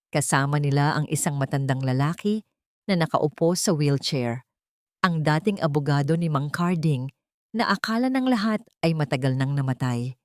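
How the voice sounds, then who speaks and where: Female story narrator, Filipino accent, low-pitched, dramatic scripted read, very dry recording. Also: darkish tone, no room sound.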